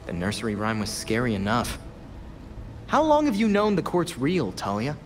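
A young man playing an earnest, sharp vigilante hero in a video game, inquisitive, wry and challenging in manner. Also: medium pitch, huge pitch swings, moderate pace, noisy background. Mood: curious, probing. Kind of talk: video game cutscene acting.

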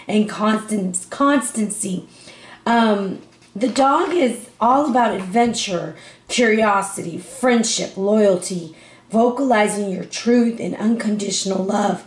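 A woman's voice: mid-range voice, darkish tone. A woman giving a casual, upbeat tarot reading to her viewers, her voice strained from a sore throat. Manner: chatty, upbeat, addresses viewers as family. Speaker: tarot reader. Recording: echoey room.

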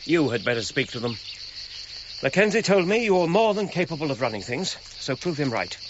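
An actor playing an authoritative, sceptical English gentleman archaeologist in a radio drama, with an English accent. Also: on the high side, very animated, brisk. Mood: brisk, exasperated. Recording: dull radio recording, clear background noise.

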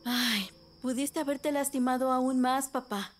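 An actress playing a caring, helpful mother in a children's cartoon, speaking gently with a Latin American Spanish accent. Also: high voice, steady tone, unhurried, heavy background noise. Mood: concerned. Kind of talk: children's animated dubbing.

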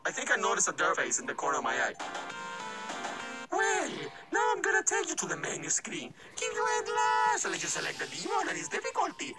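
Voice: mid-range voice, huge pitch swings, a little fast, over loud music. A performer voicing a sardonic undead game guide, mock-polite and irritable, in theatrical host style. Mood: sardonic, snippy.

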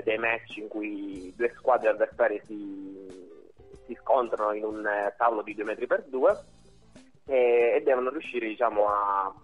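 A young man explaining things haltingly in a phone interview. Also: on the low side. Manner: hesitant, halting. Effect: telephone. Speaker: interview guest, university robotics team member. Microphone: telephone. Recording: tinny phone line, music underneath.

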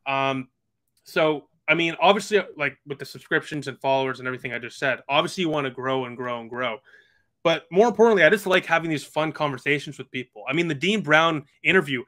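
A man's voice: a little high, quick pace, fairly bright tone. A man of about twenty, chatting earnestly as a podcast guest over a video call with lots of fillers. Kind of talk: casual video call chat.

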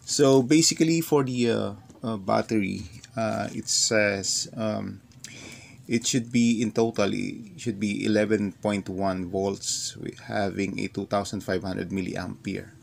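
A man's voice: lowish voice, fairly even intonation, measured pace. A Filipino man whose first language is Tagalog, hesitantly talking through an unboxing with many fillers, at a desk indoors. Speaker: vlogger. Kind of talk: casual unboxing talk. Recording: clear crinkling noise behind. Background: plastic crinkling and clicks.

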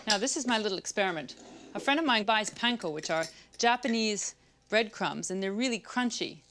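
A woman's voice: mid-range voice, brisk, slight background noise. A woman in her thirties hosting a cooking show, chatting casually as she cooks in a home kitchen. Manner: relaxed, chatty, talking while she cooks. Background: loud music bed and sizzling pan.

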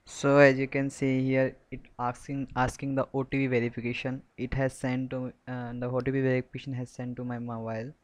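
A man giving an unscripted step-by-step software tutorial in a halting, repetitive, instructional way. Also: mid-range voice, flat delivery.

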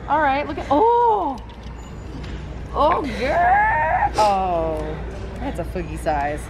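Excitable woman shopping thrift racks. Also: sits high, extremely slow, a loud crowd. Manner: excitable, exclaims over finds. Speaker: co-host. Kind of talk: casual thrift-shopping chat. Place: large thrift store, indoors. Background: store music, ambient noise.

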